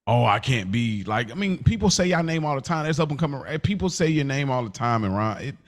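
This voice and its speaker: A mid-pitched American man debates in a casual podcast style. His pitch swings widely as he pleads his point in exasperation, often halting and repeating phrases. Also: lively intonation. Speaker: podcast co-host.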